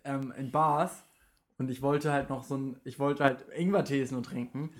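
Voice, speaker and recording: Hoarse man with a heavy cold. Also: mid-range voice, steady tone, moderate pace. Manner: self-mocking, laughs often. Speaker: podcast co-host. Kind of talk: casual chat. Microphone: scratchy microphone.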